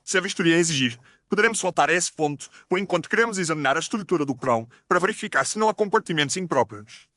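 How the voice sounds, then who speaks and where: A man's voice: a little high, lively intonation, racing, pushed and bright, no room sound, clean studio sound. A man playing a formal, firm Victorian police inspector with an official, declamatory manner in an audio story. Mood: firm, polite.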